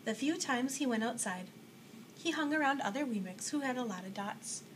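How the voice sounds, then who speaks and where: High-voiced young woman reading a storybook. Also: animated, normal speed. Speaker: storybook reader. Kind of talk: reading a children's story aloud. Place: small indoor room with block walls. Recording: some background room noise.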